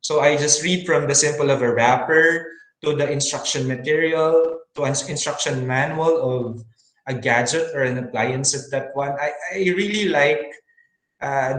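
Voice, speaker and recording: A man's voice: a little high, a bit bright. Earnest man, echoey room. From Philippines. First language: Filipino. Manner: earnest, many fillers and restarts. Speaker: webinar speaker on teaching science in English. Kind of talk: webinar talk.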